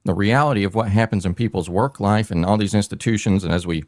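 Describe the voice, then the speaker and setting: A man's voice: fairly low pitch, quick pace, darkish tone, no room sound. A man hosting a casual crypto talk show, rambling and emphatic, with many fillers and restarts. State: hot and sweating. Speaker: podcast host.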